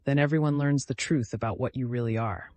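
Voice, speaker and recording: Man voicing a taunting, menacing woman villain, measured and cold. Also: mid-range voice, fairly even intonation, darkish tone, no room sound, close to an everyday voice.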